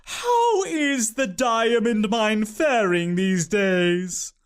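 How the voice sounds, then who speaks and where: A man's voice: sits high, expressive, drawn-out words, smooth, pitched above the performer's own voice, exaggerated voice. Aunt Crickery, a man doing a giggly rich aunt. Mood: sugary. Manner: sugary, giggly, sing-song.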